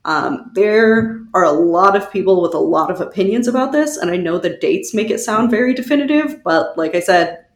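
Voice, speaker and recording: A woman co-hosting a podcast, presenting researched history in a conversational, wry and animated way with giggly asides. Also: mid-range voice, normal speed, few pauses, echoey room. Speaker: podcast co-host.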